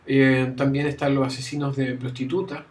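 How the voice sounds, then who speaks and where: A man's voice: mid-range voice, flat delivery, moderate pace, darkish tone, a little hiss or hum. A man giving a university lecture, often restarting phrases and checking in with his students. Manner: frequent fillers and restarts, checks understanding with "¿ya?". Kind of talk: classroom lecture. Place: classroom.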